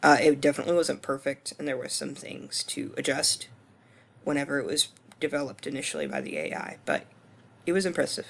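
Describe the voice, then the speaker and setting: A man in his twenties with a hoarse voice, talking through a course screencast reflection in a casual, hesitant way full of ums and restarts. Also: mid-range voice, animated, fairly quick, a bit bright. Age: 20s. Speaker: student.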